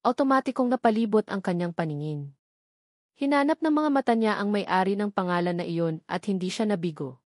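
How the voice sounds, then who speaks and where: A woman's voice: mid-range voice, expressive, a little fast, darkish tone, dry recording, clean studio sound. A woman narrating a scripted romantic drama as an audiobook, with dramatic, emphatic phrasing.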